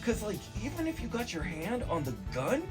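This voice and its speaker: A man with a fairly high voice talks over music in a casual, comic ramble. His pitch swings widely as he meanders through a movie recap, excitable and full of slang and swearing. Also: moderate pace.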